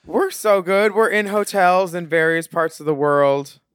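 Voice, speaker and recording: A man's voice: sits high, unhurried, a bit bright, clear, resonant tone. A man co-hosting a podcast, teasing and witty in casual chat. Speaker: podcast co-host.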